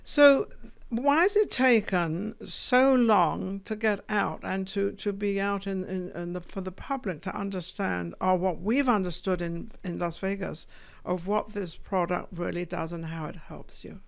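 A woman's voice: mid-range voice, unhurried, creaky. An older woman hosting a studio interview, chatty and playful as she prompts her guest, speaking into a desk mic. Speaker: interviewer, host. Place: small studio with foam wall panels. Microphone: desk podcast mic.

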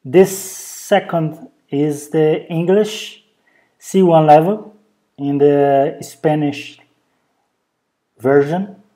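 Slow, halting speaker with a Brazilian Portuguese accent. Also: medium pitch, dark tone. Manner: hesitant, halting non-native English with many pauses and fillers. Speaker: vlogger. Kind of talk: casual show-and-tell vlog. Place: indoors at home, over a bed.

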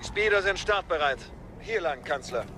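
High-voiced military commander. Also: male voice, animated, moderate pace, heavy background noise. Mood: businesslike. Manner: clipped, reporting. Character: efficient male clone military commander. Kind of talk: audio drama acting.

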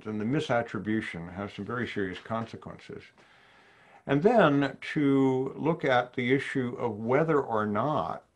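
An older man with a somewhat low voice, lecturing physicians over a video call from his home study. He speaks in a measured, thoughtful way, posing questions and letting them hang before answering. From New Orleans, USA. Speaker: psychiatrist presenting a webinar. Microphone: video call webcam.

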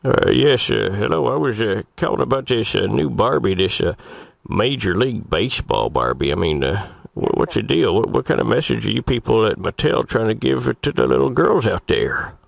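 Guy doing a cranky older man prank caller, super creaky low voice, stammers then rants. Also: animated, normal speed, hardly any pauses, no echo, heavily acted. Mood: indignant, hesitant. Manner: hesitant, stammering, then ranting. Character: indignant older male crank customer, prudish yet crude. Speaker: prank caller on a radio show. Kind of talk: comedy prank call.

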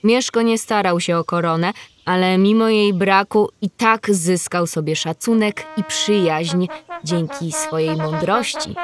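A woman's voice: on the low side, a little slow, faint music behind. A woman narrating a scripted story for children, explaining things directly to young listeners.

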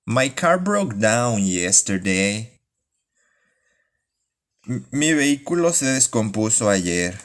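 Man, language teacher, slow pace, word-by-word drilling. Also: lowish voice, sing-song. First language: Spanish. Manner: reads phrases slowly, then word by word. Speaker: English teacher. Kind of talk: bilingual language lesson, slow drilled repetition.

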